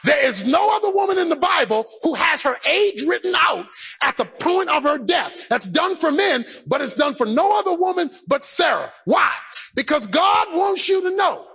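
A man's voice: very high voice, very animated, normal speed, gravelly, bright, forceful tone, shouting. A man preaching a sermon, calling out and half-singing his phrases, acting out the voices of the people he preaches about. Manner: preaching in a rising, half-sung chant; acts out the believer's inner voice. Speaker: pastor. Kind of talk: shouted sermon.

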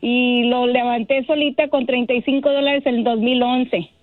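A woman's voice: a little high, steady tone, moderate pace, no echo, faint music behind. A middle-aged woman calling in by phone, chatting cheerfully and laughing often as she talks about her bridal shop. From Mexico (Monterrey) and Texas. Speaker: caller, bridal shop owner. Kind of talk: phone-in chat on a radio show. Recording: tinny phone line, dry.

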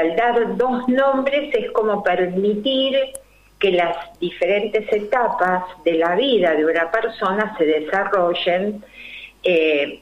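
An older woman with a Rioplatense accent talks slowly and carefully, pausing on fillers and asking herself rhetorical questions as she explains. The recording sounds roomy and a little dull. Her pitch sits in a middle range for a woman. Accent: Rioplatense Spanish. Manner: slow and deliberate, many fillers and rhetorical questions. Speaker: numerologist guest. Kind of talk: explanatory interview talk.

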